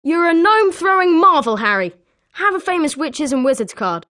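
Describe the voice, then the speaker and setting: An eager British schoolboy wizard voiced by a woman for a video game, pitched high. The delivery is chirpy and emphatic, swinging widely in melody, with a friendly competitive edge. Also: big pitch swings, normal speed, pushed and bright, full character voice. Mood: congratulatory. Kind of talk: scripted video game character lines.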